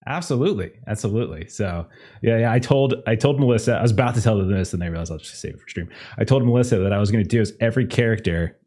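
A man's voice: lowish voice, racing, mellow, slightly rough. A man hosting and running a tabletop roleplaying game, chatting loosely with many fillers and restarts. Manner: rambling, many fillers, laughs at own jokes. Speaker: game master. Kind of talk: casual chat opening a tabletop game stream.

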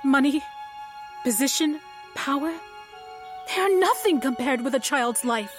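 A woman's voice: sits high, expressive, a little slow, music in the background. A woman playing an earnest, reproachful and compassionate young noblewoman in an audio drama, speaking formally and with righteous intensity. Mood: impassioned.

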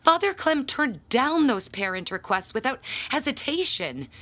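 Casting: an aggrieved, pompous church lady for audio drama, higher-pitched, grandly melodramatic and indignant. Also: big pitch swings, unhurried, pushed and bright, heavily acted. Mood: melodramatic outrage. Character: aggrieved, pompous church handbell ringer, a self-dramatizing woman. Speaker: parishioner in confession. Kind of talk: audio drama acting, theatrical monologue.

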